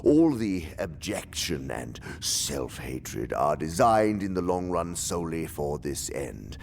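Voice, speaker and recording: Very deep, gravelly, haughty demon uncle. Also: male voice, big pitch swings, takes it slowly, darkish tone, a little hiss or hum, exaggerated voice. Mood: grave, menacing. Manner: pompous, theatrical, condescending lecturing. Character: senior male demon, haughty scheming uncle and mentor. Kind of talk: radio drama acting.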